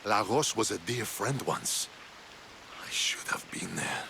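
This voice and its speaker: A man voicing a stern, honourable Spartan military commander in a video game, with a gravelly voice. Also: lowish voice, animated, slow pace, bright, forceful tone, in a whisper, full character voice. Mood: sombre. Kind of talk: dramatic video game dialogue. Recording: game soundtrack music behind the voice. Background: music.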